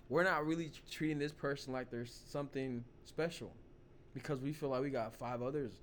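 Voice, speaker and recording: Man, vlog host, hoarse voice, rambling casual talk, faint ambient hum. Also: a little high. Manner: loose, rambling, full of "you know" and "like". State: hoarse, losing his voice. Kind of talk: casual opinion talk to camera.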